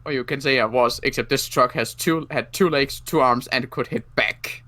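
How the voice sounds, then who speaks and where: A man acting as an injured, wry survivor, groaning and halting through pain in a game roleplay. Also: mid-range voice, bright, forceful tone, no echo, lightly acted. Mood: pained, earnest.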